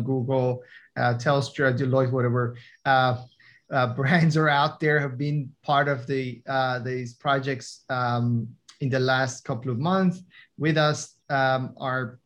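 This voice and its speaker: A man's voice: medium pitch, fairly bright tone. Hesitant non-native man, full of ums. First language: not English. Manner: hesitant, many fillers and restarts. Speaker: guest, tech entrepreneur. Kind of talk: conversational interview.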